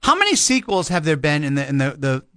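A man's voice: on the high side, wildly swooping pitch, fast, fairly bright tone, dry recording. A man cohosting a talk show, chatting about movie sequels in a rambling, jokey way that trails off mid-sentence. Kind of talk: casual chat.

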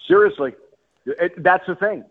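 A man's voice: high-pitched, measured pace, narrow, tinny audio. A male sports announcer speaking as a guest on a radio talk show, emphatic and often repeating and rephrasing himself.

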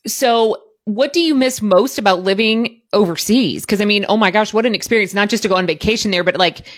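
A woman's voice: medium pitch, fairly quick. A woman from Ohio hosting a podcast, chatting casually and enthusiastically with her guest. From Ohio, USA. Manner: chatty, enthusiastic, laughs easily. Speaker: podcast host. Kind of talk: casual interview chat.

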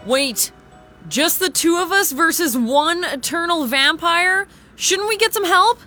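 A woman's voice: very high-pitched, expressive, measured pace, pushed and bright, faint music behind, full character voice. A woman playing an exasperated, urgent vampire hunter in a comic radio drama.

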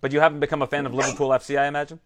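A man's voice: a little high, flat delivery, very fast, crowd noise. A man interviewing fighters, upbeat and inquisitive, often restarting his questions. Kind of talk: sports interview.

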